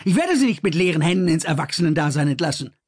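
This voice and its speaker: A male narrator voicing a bold, defiant young man who talks fast and challenges his older partner. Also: a little high, very animated, darkish tone, breathy edge, exaggerated voice. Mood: determined.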